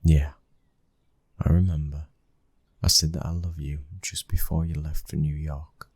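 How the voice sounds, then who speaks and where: A man with a British accent acting as a brooding, protective young mafia boss's son in an intimate ASMR boyfriend roleplay, speaking in a husky, hushed, intense way that often nears a whisper. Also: rumbling low, flat delivery, unhurried, no echo, heavily acted. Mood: tender, vulnerable.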